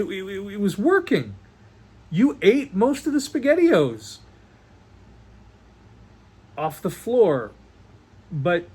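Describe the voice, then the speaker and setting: A man playing a doting middle-aged father in a stage monologue over a video call, earnest and halting, stammering as he rambles to his daughter. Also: mid-range voice, darkish tone, slightly rough. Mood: animated, fond. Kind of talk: one-act play monologue performed on video call. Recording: noisy video call audio.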